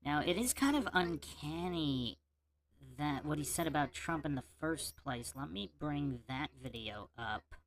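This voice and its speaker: Dude hosting a live q&a, slow and easygoing, stumbles a bit fixing his audio. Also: on the high side, expressive, fairly bright tone. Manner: unhurried, restarting words while fixing audio settings. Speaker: livestream host. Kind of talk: casual livestream Q&A chat.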